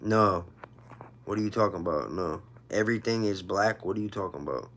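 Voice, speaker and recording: Low-voiced man in his thirties, streaming casually. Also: brisk, a bit bright, slight background noise. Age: 30s. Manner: informal street slang. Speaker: livestream host. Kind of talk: casual livestream chat, personal storytelling.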